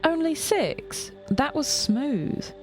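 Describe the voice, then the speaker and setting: A woman's voice: sits high, very animated, extremely slow, soft background music, voice pushed up, full character voice. A woman playing a flustered, exasperated younger sister who works in an office, rambling and apologetic, in an audio drama. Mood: defensive.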